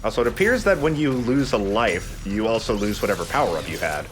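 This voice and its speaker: A man's voice: on the low side, animated, fairly quick, over music. A man giving casual let's play commentary while gaming.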